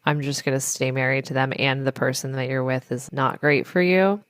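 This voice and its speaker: A woman's voice: a bass voice, no echo. A woman co-hosting a casual podcast, speaking candidly with a wry edge. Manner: candid, wry, reacts with quick exclamations. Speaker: podcast co-host.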